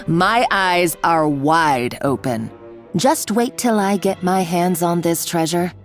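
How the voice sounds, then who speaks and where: A woman's voice: lowish voice, lively intonation, takes it slowly. A woman voicing a mocking, unhinged villainess in a video game, with theatrical taunting and a tendency to cackle. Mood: gloating, manic. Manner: theatrical, taunting, bursts of laughter. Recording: music behind the voice. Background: music.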